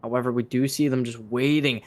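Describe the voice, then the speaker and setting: A man's voice: medium pitch, fairly even intonation, fairly quick. A man giving live play-by-play commentary on an esports match. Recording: clearly noisy stream with clicks.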